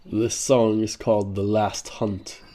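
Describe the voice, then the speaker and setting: A man as a wry, mellow wounded young creature. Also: lowish voice, little pitch movement, slow pace. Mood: fatalistic. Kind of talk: fantasy audio drama dialogue.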